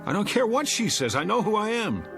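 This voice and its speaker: A fairly high, very animated voice plays a man of forty insisting on his identity. He sounds agitated, defiant and sarcastic in a dramatic dialogue. Soft music sits faintly underneath. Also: fairly quick, creaky, full character voice. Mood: indignant. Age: 40s. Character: indignant forty-year-old man desperate to prove his identity. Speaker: actor.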